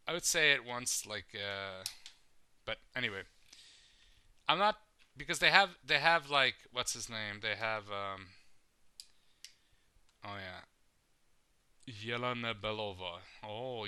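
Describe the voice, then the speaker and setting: A man's voice: fairly low pitch, bright, forceful tone, smooth, dry recording. A man hosting a casual podcast, rambling with lots of fillers and swearing. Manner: rambling, profane, filler-heavy. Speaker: podcast host.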